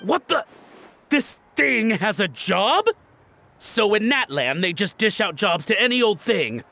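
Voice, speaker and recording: A high, cowardly antiques collector. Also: male voice, big pitch swings, measured pace, vocal fry, sharp tone, exaggerated voice. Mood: bewildered, scornful. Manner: pleading, flustered, stammering, florid. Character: cowardly, grovelling, shady male antiques collector. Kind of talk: video game voice acting.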